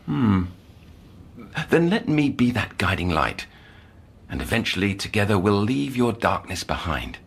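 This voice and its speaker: An actor playing a measured, soothing psychiatric counsellor who probes patiently and deliberately in an audio drama. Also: male voice, fairly low pitch, big pitch swings, vocal fry, husky, exaggerated voice. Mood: soothing, probing.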